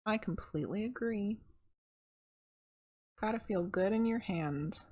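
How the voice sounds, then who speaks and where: A middle-aged woman reading a children's picture book aloud, expressive and conversational, with casual asides to her viewers and a slightly husky voice. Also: mid-range voice, measured pace.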